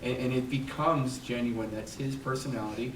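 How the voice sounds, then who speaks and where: A man's voice: mid-range voice, steady tone, normal speed. A man in the audience giving his view, hesitant but earnest. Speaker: audience member. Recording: echoey room, distant mic, clear noise.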